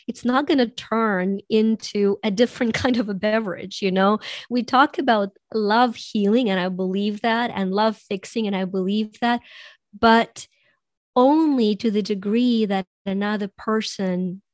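A woman with a raspy voice, a coach talking reflectively in a podcast conversation, with many "you know" asides. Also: medium pitch, steady tone, unhurried, no room sound, studio quality. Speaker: possibility coach.

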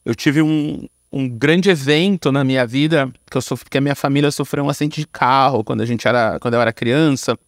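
A man's voice: medium pitch, brisk, hardly any pauses, dry recording, clean studio sound. A man in his thirties or early forties with a Brazilian accent, talking informally as an interview guest, explaining and telling stories. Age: 30s to early 40s. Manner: informal, explanatory, frequent tag words. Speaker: podcast guest, screenwriter. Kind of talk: casual conversational storytelling.

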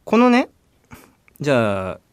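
A man's voice: medium pitch, wildly swooping pitch, slow pace, clear, resonant tone, no room sound, clean studio sound. A male co-host explaining things in radio chat, polite and deferential to his partner. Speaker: podcast co-host.

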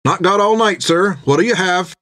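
Tavern waiter for audiobook, male narrator acting: bald, impatient, chatty, folksy period patter, raised pitch. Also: moderate pace, pushed and bright, dry recording.

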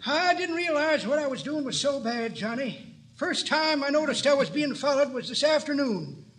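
A man's voice: very high-pitched, normal speed, heavily acted. An actor playing a frantic, folksy, good-hearted old man in 1940s radio comedy. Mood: distressed, confessing. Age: older. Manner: folksy, excitable, exclamatory. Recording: noisy old radio recording with clicks.

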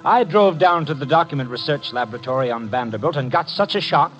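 Wry tough gumshoe assistant, brisk and punchy, vintage radio drama, muffled w music. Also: male voice, fairly high pitch, lively intonation, fairly bright tone. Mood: wry narrating. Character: brisk, wisecracking tough male detective's assistant. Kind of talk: 1950s radio drama narration and dialogue.

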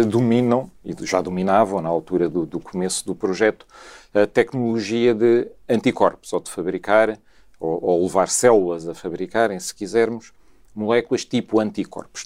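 A man with a European Portuguese accent, a scientist explaining his research in a measured way with frequent repetitions. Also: fairly low pitch, darkish tone. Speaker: scientist guest.

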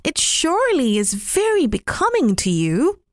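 A woman voicing a generous, gentle male rabbit in a storybook reading, with a high, singsong manner. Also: wildly swooping pitch, measured pace, no room sound, clean studio sound, exaggerated voice. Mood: cheerful.

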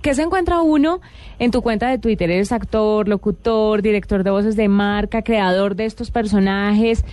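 A woman's voice: mid-range voice, moderate pace, a little mellow, dry recording. A woman hosting a casual radio interview in a conversational style. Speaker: radio host.

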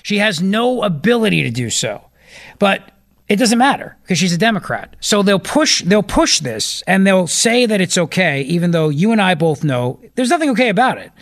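A man's voice: sits high, big pitch swings, normal speed. Emphatic male talk radio host. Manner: emphatic, repeats points for stress. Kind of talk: opinionated talk radio commentary.

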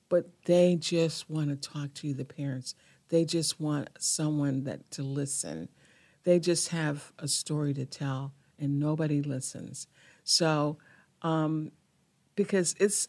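A woman, a guest representing a foundation, speaking in quick, halting bursts with frequent restarts. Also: sits low, a bit bright, dry recording. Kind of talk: casual interview conversation.

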